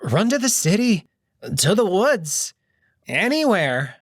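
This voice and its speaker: A man's voice: sits high, very animated, takes it slowly, no echo, studio quality, pitched above the performer's own voice, heavily acted. A man doing an urgent, pleading furry companion. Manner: urgent, pleading whisper-shouts. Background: music.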